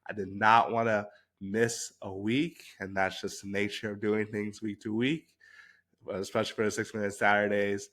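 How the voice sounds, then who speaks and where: A man with a fairly low voice, a little nasal and congested as he gets over a cold. He talks candidly and casually to his listeners, as if telling a friend what happened, filling in with little asides as he goes. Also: flat delivery, moderate pace, fairly bright tone. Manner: candid, conversational storytelling with fillers. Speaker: podcast host. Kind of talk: solo podcast monologue.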